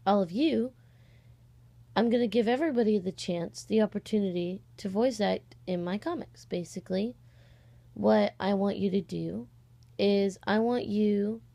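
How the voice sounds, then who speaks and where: A woman's voice: mid-range voice, normal speed, gravelly, warm tone. A sixteen-year-old girl giving a casual, rambling, hesitant announcement in an informal vlog style, on a cheap microphone. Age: 16, teenager. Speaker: amateur voice-acting channel creator. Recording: cheap, slightly distorted microphone.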